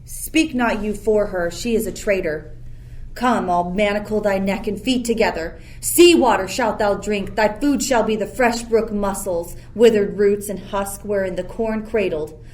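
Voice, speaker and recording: A woman's voice: medium pitch, measured pace, a little mellow, natural voice. A woman playing a commanding, scornful sorceress mother in a Shakespearean radio play, speaking imperiously and theatrically. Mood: stern, accusing. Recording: faint background noise.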